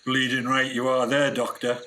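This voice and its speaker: An actor playing a plain-spoken, wary assistant to a jungle explorer in a radio play, blunt and colloquial. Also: male voice, mid-range voice, completely flat delivery, normal speed, bright, forceful tone, lightly acted. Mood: uneasy, musing. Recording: faint background noise.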